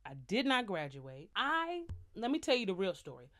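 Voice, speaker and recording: A young American woman telling stories casually to her viewers, chatty and animated, full of slang and asides. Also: medium pitch, normal speed. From United States. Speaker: vlogger, singer. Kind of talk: casual storytelling to camera.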